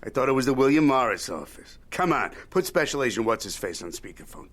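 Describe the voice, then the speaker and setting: A man's voice: medium pitch, big pitch swings, fast, a bit bright. An actor playing a sarcastic, blustering male entertainment mogul in an audio drama. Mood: scornful, pushy. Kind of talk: radio drama acting.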